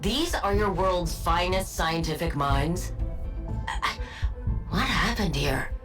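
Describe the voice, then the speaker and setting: Icy woman scientist, low register, formal and clipped, game cutscene with music behind. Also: expressive, slow. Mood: coolly dismissive. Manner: formal, clipped, coolly precise. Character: cold, logical woman scientist devoted to her frozen wife. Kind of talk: video game cutscene acting.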